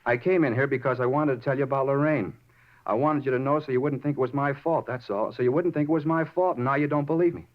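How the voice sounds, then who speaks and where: An actor playing an unhinged, self-justifying murder suspect in a 1950s radio drama, rambling over small details and repeating himself. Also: male voice, mid-range voice, quick pace, lightly acted. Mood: hurt, pleading. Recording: muffled 1950s radio recording.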